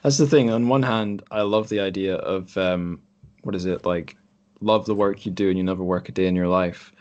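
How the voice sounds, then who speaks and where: A man with a low-pitched voice hosts a podcast conversation. He talks casually and reflectively, wandering through his thoughts as he goes. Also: fairly even intonation, moderate pace, darkish tone, dull audio. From Scotland. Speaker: podcast host. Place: group online call.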